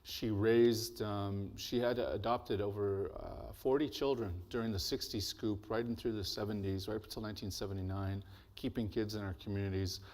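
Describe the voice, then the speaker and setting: A man with a deep, bassy voice giving a relaxed, joking symposium talk as an artist, speaking into a microphone in a lecture hall. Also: normal speed. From Blackfoot territory, Alberta. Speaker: artist and presenter. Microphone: handheld or podium microphone.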